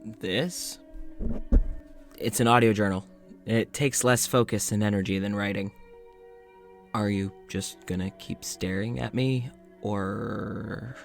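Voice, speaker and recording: A man playing a weary, frustrated doctor with memory loss in an audio drama, recording a spoken journal with halting, sighing delivery. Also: lowish voice, steady tone, vocal fry, faint music behind. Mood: guarded, curt. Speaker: voice actor playing podcast's lead character.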